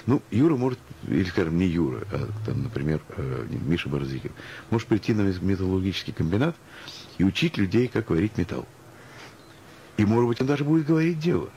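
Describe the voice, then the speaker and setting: A man's voice: low voice, sing-song, fast talker, mellow, a little hiss or hum. A man speaking as a guest in a radio interview, musing and ironic, arguing through anecdotes and examples. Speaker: interview guest, music professional.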